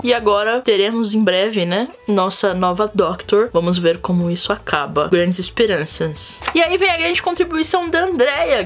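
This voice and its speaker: A young woman with a Brazilian Portuguese accent, hosting a casual vlog and chatting expressively with frequent asides to her viewers. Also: on the high side. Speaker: channel host.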